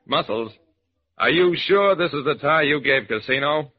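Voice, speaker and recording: An actor playing a wry, commanding hard-boiled detective, narrating deadpan in a 1940s-style radio drama. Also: male voice, a little high, normal speed, breathy edge. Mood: firm. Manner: deadpan, wisecracking. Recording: dull old radio recording.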